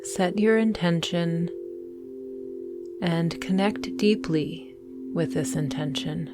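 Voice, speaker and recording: A woman guiding a mindfulness meditation in a calm, soothing, measured way, leaving long pauses between phrases. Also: lowish voice, over music. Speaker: meditation guide. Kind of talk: guided mindfulness meditation narration.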